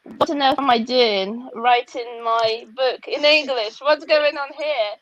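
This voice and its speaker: A woman's voice: sits high, measured pace, a bit bright, rough recording. A woman from Russia with a Russian accent, a guest author speaking candidly and reflectively, often laughing, over a video call.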